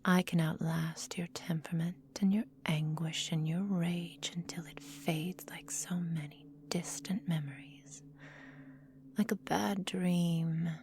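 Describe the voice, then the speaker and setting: A woman playing a centuries-old vampire mistress in a whispered ASMR roleplay, breathy and close to the microphone, condescending, possessive and coolly doting, with formal, deliberate diction. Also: fairly low pitch, a little mellow, slight background noise.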